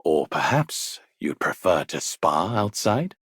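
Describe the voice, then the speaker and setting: Game casting: genteel gentleman, lowish husky voice, swooping delivery, courtly and florid. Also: sing-song, takes it slowly, no room sound, studio quality, exaggerated voice. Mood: genial, courtly. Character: genteel, theatrical film-loving gentleman. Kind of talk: video game voice line.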